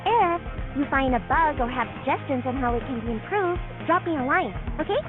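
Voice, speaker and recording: A woman's voice: high voice, expressive, fairly quick. An actress playing a cheerful young female new hire in a video game, politely explaining things in a perky way. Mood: perky, helpful. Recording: phone-like thin sound, music behind.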